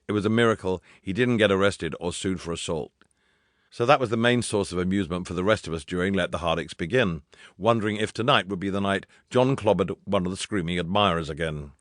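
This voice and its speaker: Low-pitched man narrating a wry memoir. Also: fairly bright tone, no echo, studio quality. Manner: wry, dryly humorous storytelling. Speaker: audiobook narrator.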